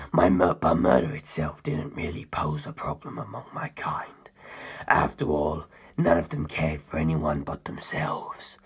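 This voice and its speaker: A performer acting out an ancient male superhuman predator for a story reading. He whispers in a very deep, breathy register. His delivery is slow, deliberate and coldly arrogant, with a grim ruthlessness. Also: exaggerated voice.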